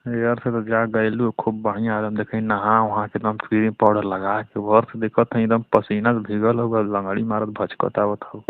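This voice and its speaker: A man's voice: on the low side, monotone, moderate pace, few pauses, no room sound. A man with a Bhojpuri accent from eastern Uttar Pradesh, chatting casually, flirting and needling on a recorded phone call. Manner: teasing, needling.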